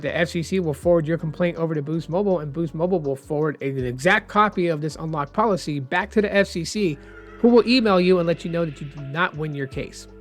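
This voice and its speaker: A man explaining phone unlock rules in a casual, rambling vlog, hoarse as he says he is losing his voice. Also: fairly high pitch. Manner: chatty, rambling, opinionated. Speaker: tech vlogger.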